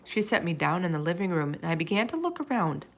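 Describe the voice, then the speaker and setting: A woman reading a children's chapter book aloud in expressive narration. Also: on the low side, fast. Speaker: storybook reader.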